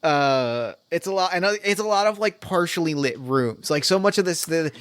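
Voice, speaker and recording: A man as game master of a solo tabletop mystery, talking in a rambling, animated way full of fillers and restarts. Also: a little high, moderate pace, clear, resonant tone, no echo.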